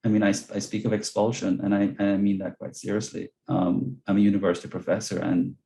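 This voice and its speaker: A man's voice: lowish voice, little pitch movement, fairly quick, creaky, mellow. A man from Jamaica with a Jamaican accent, an earnest guest speaker on a video call who repeats words as he searches for them. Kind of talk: webinar talk and Q&A answers.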